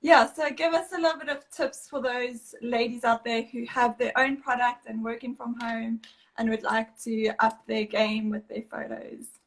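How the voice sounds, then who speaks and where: A woman's voice: a little high, steady tone, moderate pace, low-quality recording. A woman from South Africa hosting a casual live video chat, interviewing a guest in an upbeat, chatty way. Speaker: host.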